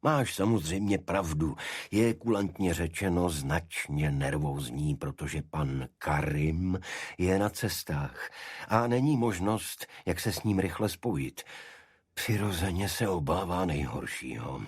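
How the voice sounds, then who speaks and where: A grave older gentleman of high office, played by a male narrator, with a very low, husky voice. He speaks formally and with measured authority, cold but worried, as in a detective audiobook. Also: dry recording, clean studio sound. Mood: frank, concerned. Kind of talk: audiobook character dialogue.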